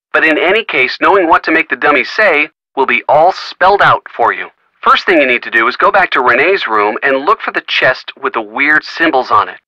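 A man's voice: medium pitch, sharp tone, no room sound, dull audio. An actor playing a friendly man in a video game who gives folksy puzzle hints with wry little asides. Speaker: hint-giving game character. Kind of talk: recorded video game dialogue.

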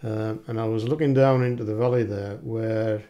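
A man's voice: fairly low pitch, steady tone, moderate pace, dark tone, no echo, highs cut off. A man narrating his fell walk casually on a camera mic outdoors, chatty and pointing out the views. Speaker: hiker filming his walk. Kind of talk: casual walking vlog narration. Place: outdoors on open fell paths. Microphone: camera mic, windy. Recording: faint outdoor noise.

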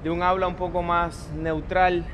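A man's voice: high voice, little pitch movement, moderate pace, fairly bright tone. A man in his thirties with a Cuban Spanish accent, talking in an easygoing, joking way as a guest on a street walk, filmed on a phone on a selfie stick. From Cuba. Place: sunny city sidewalk outdoors. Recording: street traffic in background.

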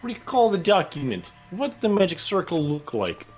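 A man voicing a creepy, smug, eloquent young man in a game fan dub, formal and deliberate with a condescending manner. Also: high voice, big pitch swings, loud music behind the voice. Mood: smug, probing.

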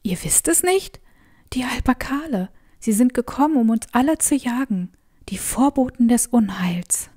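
A woman's voice: fairly high pitch, expressive, normal speed, darkish tone. An actress playing a frightened middle-aged mother in a fantasy audio drama, pleading fearfully and breathily for her family. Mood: ominous, fearful.

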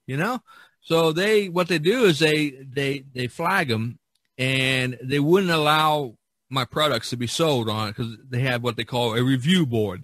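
A man hosting a podcast, telling personal anecdotes in a casual, halting way and often repeating words as he goes. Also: medium pitch, fairly bright tone, no room sound. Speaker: podcast host and artist.

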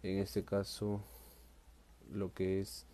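A man's voice: low-pitched, monotone, takes it slowly, warm tone. A man hosting a live unboxing stream from a tabletop, chatty and upbeat, reading viewer comments aloud. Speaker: collector livestream host. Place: indoors at a table.

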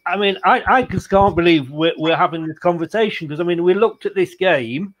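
A man, hosting a casual football chat with halting, repeated phrases. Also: high voice. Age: 60s. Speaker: fan channel host. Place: room at home, indoors. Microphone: video call, webcam.

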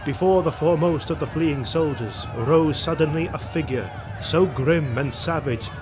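A man's voice: a little high, moderate pace. Male narrator, fantasy audio drama: grave, theatrical storytelling, music underneath. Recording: music behind the voice.

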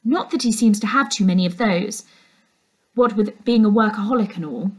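A woman's voice: mid-range voice, moderate pace, husky, natural voice. A woman voicing a nosy, falsely sweet middle-aged housekeeper from a children's novel, wheedling and insinuating. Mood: feigned concern, prying.

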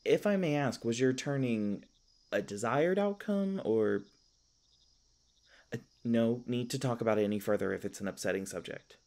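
A man's voice: mid-range voice, moderate pace, darkish tone, natural voice. A man playing a kindly, flustered, youthful elf park ranger in a fantasy audio roleplay, stammering and rambling apologetically. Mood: friendly, reassuring. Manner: rambling, stammering, apologetic, self-deprecating humor. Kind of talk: fantasy audio roleplay, casual conversational acting.

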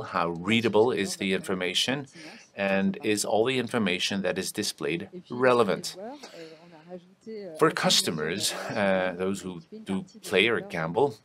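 A man giving live English interpretation of a panel discussion, halting with frequent restarts and fillers. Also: lowish voice, fairly bright tone. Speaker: simultaneous interpreter voicing panel speakers.

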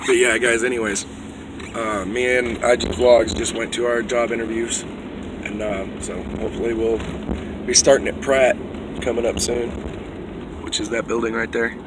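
A young man talks into a phone held at arm's length in a moving SUV. His voice is going hoarse, and his talk is loose, joking and full of swearing. Faint road noise runs underneath. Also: medium pitch, little pitch movement, moderate pace. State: losing his voice. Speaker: vlogger. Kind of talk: casual car vlog.

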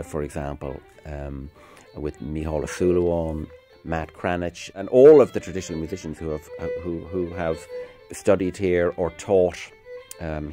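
A middle-aged man talks in a very low voice, reflective and a little halting, as in a documentary interview. Fiddle music plays behind him. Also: warm tone. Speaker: university music academic.

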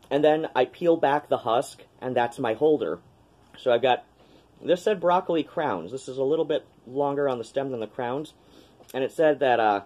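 A man's voice: medium pitch, darkish tone. A man chatting casually at home into a webcam during an unboxing, rambling with lots of ums and restarts. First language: English. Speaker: vlogger. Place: small cluttered room at home, indoors.

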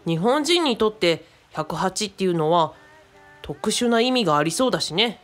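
A woman's voice: medium pitch, lively intonation, normal speed, faint music behind, lightly acted. A woman playing a friendly, knowledgeable adult companion in a radio drama, explaining casually and thinking aloud. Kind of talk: conversational radio drama acting.

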